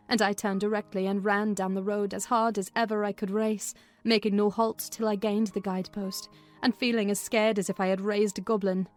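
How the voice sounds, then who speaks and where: A woman narrator with a mid-pitched voice reads a classic novel expressively. Soft music plays faintly behind her storytelling.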